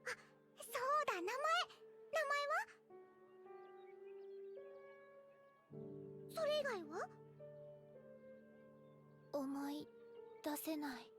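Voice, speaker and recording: A woman's voice: very high-pitched, very animated, slow pace, pauses often, full character voice. A woman voicing a small, feisty, cute yet scheming girl in an anime game cutscene, with theatrical delivery. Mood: worried. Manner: theatrical, switching between sweet girlishness and haughty elder-like speech. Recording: music behind the voice.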